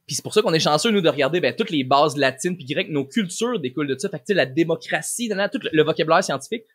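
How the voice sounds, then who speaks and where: A man's voice: fairly high pitch, lively intonation, racing, a bit bright, husky. A man with a Québécois French accent, a guest language coach explaining things casually and in slangy, informal words. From Quebec, Canada.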